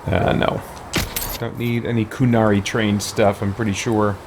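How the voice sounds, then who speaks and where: A man's voice: lowish voice, level tone, unhurried. A man thinking aloud in casual game playthrough commentary, muttering to himself with mild exclamations. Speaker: gaming video host. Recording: clear background noise.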